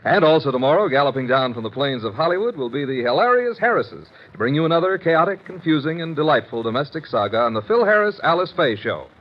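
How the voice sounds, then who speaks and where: A male announcer of old network radio, reading scripted promos in a fairly high, animated voice. The recording is dull, with faint music behind him. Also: quick pace, hardly any pauses, background noise.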